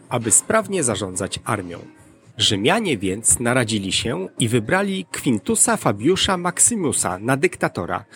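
A man's voice: medium pitch, vocal fry, a bit bright. A man hosting an educational podcast, reading scripted narration.

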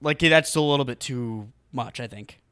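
A young man co-hosts a podcast, musing aloud in a rambling way with many restarts. His voice is mid-pitched and slightly stuffy, and it is recorded dry on a close mic. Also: normal speed, fairly bright tone, clear, resonant tone, no echo. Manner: rambling, self-correcting, musing. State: congested. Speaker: podcast co-host. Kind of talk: casual chat.

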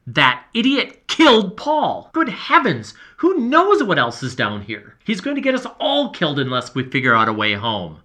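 A man's voice: medium pitch, expressive, normal speed, few pauses, pushed and bright. A man voicing a frightened, spiteful boy who taunts and whines in an audiobook. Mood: taunting, furious.

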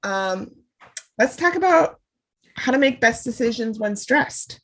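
A woman's voice: on the high side, animated, measured pace, fairly bright tone. A young adult woman co-hosting a podcast, nasal and congested from a cold, bubbly and laughing often. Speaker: podcast co-host. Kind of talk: casual chat.

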